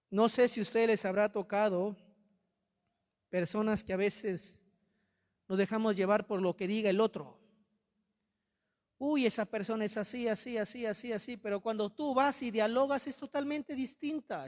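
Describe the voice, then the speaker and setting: A young Peruvian priest with a Peruvian accent preaching a sermon in a large church, holding a handheld mic, impassioned and reproachful, repeating his phrases. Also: high-pitched. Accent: Peruvian Spanish. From Peru. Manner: impassioned, rhetorical repetition, reproachful. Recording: long church reverb.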